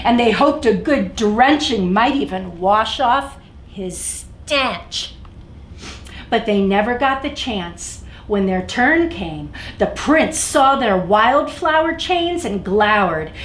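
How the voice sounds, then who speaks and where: A woman's voice: medium pitch, animated, takes it slowly. A woman hosting a children's story time, reading aloud expressively and speaking directly to young listeners. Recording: echoey room, faint background noise.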